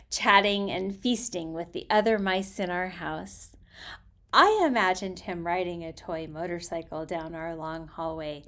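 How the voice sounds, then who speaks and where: A woman storyteller reading aloud in an expressive, theatrical way, with deliberate phrasing and hushed emphasis. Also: fairly low pitch, creaky.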